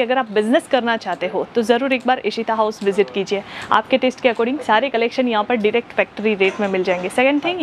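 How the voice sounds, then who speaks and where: A young woman presenting clothes in a shop showroom, talking in brisk promotional patter with lots of fillers, on a lapel mic. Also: on the high side, slight background noise. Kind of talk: product showcase sales pitch.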